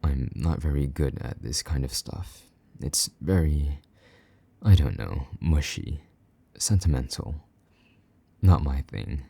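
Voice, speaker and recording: A jealous vampire knight, played by a man murmurs slowly in a very deep, dark voice. His tone is husky and slightly gravelly, sliding into soft whispers as he teases and comforts. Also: exaggerated voice. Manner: low murmuring with soft whispers, teasing and gruff. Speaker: voice actor in audio roleplay. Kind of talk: intimate audio roleplay.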